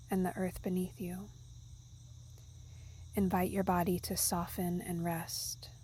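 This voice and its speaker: A woman guiding a meditation in a soft, soothing, breathy, half-whispered voice. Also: mid-range voice, a little hiss or hum, poor audio. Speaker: yoga nidra guide. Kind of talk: guided meditation narration.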